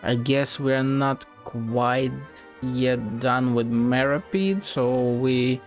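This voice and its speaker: A man's voice: medium pitch, drawn-out words, faint music behind. A man giving casual, blunt, sweary commentary as he streams a video game. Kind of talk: casual live game commentary, reading dialogue aloud.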